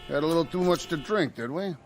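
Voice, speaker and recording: A man's voice: a little high, animated, fast talker, a little hiss or hum. An actor playing a firm, skeptical street policeman in a radio drama, dry, patronizing and authoritative. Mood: stern.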